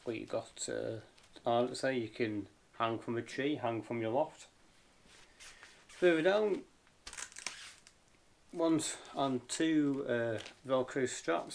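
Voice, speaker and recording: A man giving a casual, unscripted hands-on gear review as an amateur radio vlogger, pausing and feeling his way along. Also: mid-range voice, darkish tone. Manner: hesitant, informal, unscripted. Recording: clear background noise and clicks.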